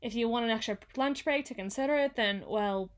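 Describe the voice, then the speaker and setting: A woman's voice: on the high side, fairly quick, close to an everyday voice. Woman acting a frazzled, haughty female boss. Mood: flustered, brusque. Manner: formal, wordy, haughty yet flustered, stammering. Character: frazzled, proud, secretly insecure female company boss. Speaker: voice actor. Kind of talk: ASMR roleplay monologue.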